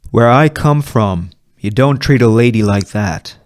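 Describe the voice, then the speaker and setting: A man's voice: medium pitch, measured pace, lightly acted. A man as an indignant Western saloon regular. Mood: indignant, challenging. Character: indignant saloon townsmen of the Wild West.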